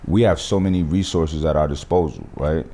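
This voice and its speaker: A man with a low, dark voice holds forth on his podcast. He speaks in an animated, emphatic way, full of street slang and cursing. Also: fairly quick. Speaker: podcast host. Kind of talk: casual podcast conversation.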